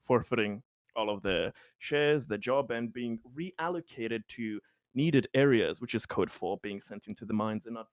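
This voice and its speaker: Halting man playing a tabletop roleplaying game. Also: lowish voice, no room sound. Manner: halting, stammering, wordy delivery. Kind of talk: improvised in-character narration and casual chat.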